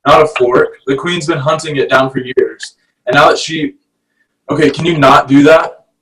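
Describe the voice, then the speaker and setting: A man's voice: on the high side, fairly even intonation, moderate pace, fairly bright tone, far from the mic, close to an everyday voice, poor audio. An actor at a table read playing a stern, grumpy fish-man guardian with a dry, exasperated manner.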